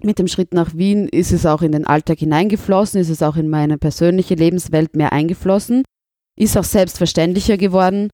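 A woman's voice: lowish voice, brisk, mellow, no room sound, clean studio sound. A woman teacher from Burgenland with an Austrian accent, answering interview questions in a thoughtful, candid way.

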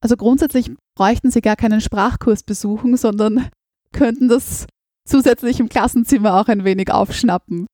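Polite woman interviewer, mellow tone. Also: a little high, normal speed, no room sound, clean studio sound. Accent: Austrian. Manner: formal, polite. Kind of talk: interview questions.

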